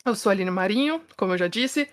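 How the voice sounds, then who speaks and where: Brief: thirtyish São woman co-host, mid-pitched, talkative and friendly with the audience. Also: lively intonation, quick pace. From São Paulo, Brazil. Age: 30s. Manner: chatty, laughs often, frequent tag questions. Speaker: podcast co-host. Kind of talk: casual podcast chat.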